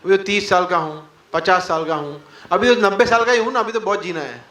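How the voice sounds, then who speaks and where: A man's voice: high voice, brisk, bright, forceful tone, roomy sound, a little hiss or hum. A man leading an interactive spiritual class before a live audience, firing rhetorical questions and mock quotes, with English words mixed into his talk. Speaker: devotional class speaker.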